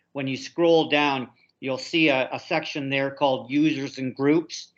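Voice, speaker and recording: A man's voice: medium pitch, a bit bright. Slightly muffled male instructor on a call. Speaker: university instructor. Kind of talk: informal step-by-step practical advice to students. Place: conference call. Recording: slightly dull conference-call audio.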